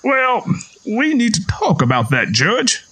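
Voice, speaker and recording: Guy doing a defensive southern country father, high voice that jumps around, folksy, audio story. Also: huge pitch swings, slow pace, sharp tone, exaggerated voice. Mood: uneasy, firm. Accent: American South.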